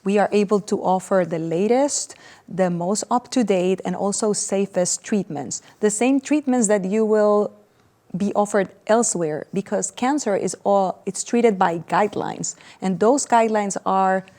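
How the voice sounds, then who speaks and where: A woman's voice: medium pitch, measured pace, warm tone. A woman doctor in her forties from Puerto Rico, with a Puerto Rican accent and Spanish as her first language, explaining things earnestly and step by step in a TV interview. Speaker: cancer doctor.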